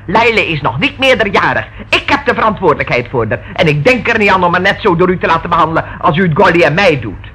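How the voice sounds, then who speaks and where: A domineering aunt with a sharp, forceful voice. She is indignant and haughty, scolding in old radio drama style over faint noise. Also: on the low side, animated, quick pace, few pauses. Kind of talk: Dutch radio play acting.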